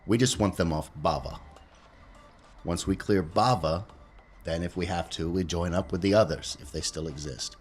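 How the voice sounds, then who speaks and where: Deep-voiced man, firm and deliberate. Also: faint music behind. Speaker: tabletop role-playing player. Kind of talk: casual in-character and table talk.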